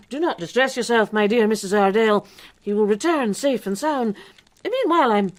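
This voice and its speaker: An actress in a period radio drama playing a woman who passes as a charming, flustered male doctor, with halting, courtly speech. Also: a little high, lively intonation, natural voice. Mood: smoothly gallant. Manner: halting, stammering, gallant, ingratiating.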